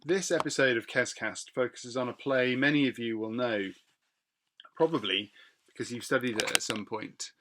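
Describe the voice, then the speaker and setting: A man with a British accent speaking as a teacher and podcast host, explaining things in a measured, somewhat hesitant way. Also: mid-range voice, noisy background.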